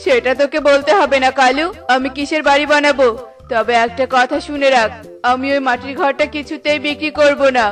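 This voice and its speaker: Casting: proud older-brother bird, high voice, firm and indignant, played by a woman, slightly off-mic with music. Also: fairly even intonation, brisk, far from the mic, lightly acted. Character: upright, stubborn male bird, sentimental older brother. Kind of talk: cartoon character voice acting.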